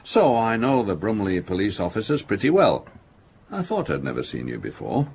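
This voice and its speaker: A self-important older businessman in a radio play. He lectures heartily, with a pitch that swings freely, over faint room noise. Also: sing-song, normal speed, gravelly, a little mellow. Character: pompous, self-satisfied older businessman and family patriarch. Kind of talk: British radio drama acting.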